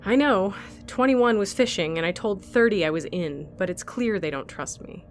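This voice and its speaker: A woman's voice: medium pitch, lively intonation, normal speed, slight background noise, natural voice. A woman playing a bitter, self-preserving captive fighter in an audio drama, blunt, demanding and sardonic. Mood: cynical, desperate.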